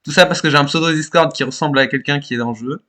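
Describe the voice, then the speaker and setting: A man's voice: fairly high pitch, rapid-fire, sharp tone. A teenage male gamer arguing in a casual voice chat, sweary, combative and indignant. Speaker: player recording a trolling video. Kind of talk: casual argumentative game voice chat.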